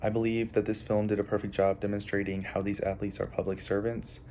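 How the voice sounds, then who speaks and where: A young man giving a prepared analysis as a student presenter, halting often with filled pauses. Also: fairly low pitch, level tone, dark tone, slight background noise. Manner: halting, hesitant delivery with frequent filled pauses.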